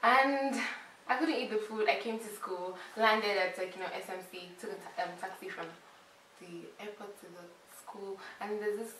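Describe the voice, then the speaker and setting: A woman from Nigeria tells a lively, animated story in a relaxed group chat. She sounds distant from the mic, in a room with plenty of echo and faint ambience. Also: mid-range voice, normal speed, a little hiss or hum. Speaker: medical student. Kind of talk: casual storytelling. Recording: echoey room, far from mic.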